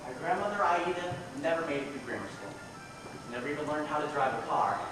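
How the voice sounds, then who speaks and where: A man's voice: a little high, lively intonation, quick pace, over music, natural voice. A man acting the part of an exasperated young grandson in an amateur stage play, with projected, emphatic delivery. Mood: reflective narrating. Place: theater stage, distant recording. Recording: echoey noisy hall, recorded from far off.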